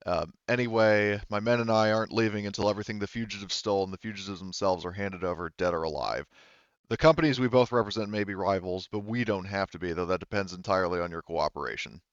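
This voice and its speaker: A low, smooth-voiced corporate executive played by a male game master in a tabletop game. He is curt, formal and coolly threatening, on a slightly dull microphone. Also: fast, no room sound, natural voice. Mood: cool, demanding.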